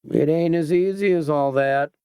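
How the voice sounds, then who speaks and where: A man's voice: fairly high pitch, moderate pace, darkish tone, smooth, no room sound, studio quality, pitched above the performer's own voice, lightly acted. A man reading a story, voicing a menacing biker gang leader who talks in a soothing, mock-polite, folksy way with ungrammatical speech.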